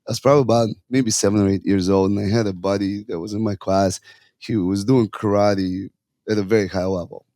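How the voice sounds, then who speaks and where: Man, from Russia, thirties, low mellow voice, earnest, dry close sound. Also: fairly even intonation, brisk, no echo. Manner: earnest, talks in long runs with fillers and restarts. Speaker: guest, youth hockey coach. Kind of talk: casual interview talk.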